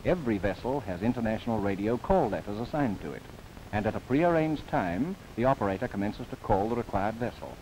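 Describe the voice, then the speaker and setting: A man from New Zealand giving formal, measured scripted narration for a 1930s government documentary film, recorded as a studio voice-over. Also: on the low side, animated, darkish tone, poor audio. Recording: old film soundtrack with faint hiss.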